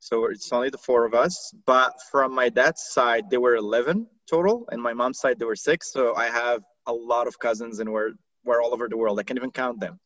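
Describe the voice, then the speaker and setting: A man from Morocco whose first languages are Arabic and French speaks as a podcast guest. He walks through his career in a relaxed, steady way. The recording is dry and a bit dull and lo-fi. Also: medium pitch, a little fast, no room sound. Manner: relaxed storytelling, steady recounting. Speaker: podcast guest, marketing executive. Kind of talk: casual interview chat.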